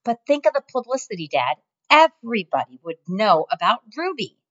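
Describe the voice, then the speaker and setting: A woman's voice: fairly high pitch, sing-song, normal speed, fairly bright tone, voice pushed up. A woman voicing a spirited teenage daughter who pleads eagerly, on a muffled lo-fi recording.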